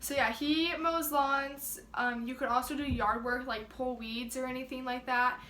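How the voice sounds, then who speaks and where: Teen girl co-host, casual chat, higher, bright voice, echoey room. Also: fairly even intonation, moderate pace, slight background noise. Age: teens. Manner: chatty, many filler "likes".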